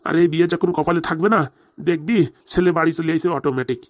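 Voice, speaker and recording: Man doing cartoon village dad, older, easygoing banter, talks super fast, very dry close sound. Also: fairly high pitch, no echo, lightly acted. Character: older village father, easygoing, eager to marry off daughter. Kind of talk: fast comic cartoon dialogue.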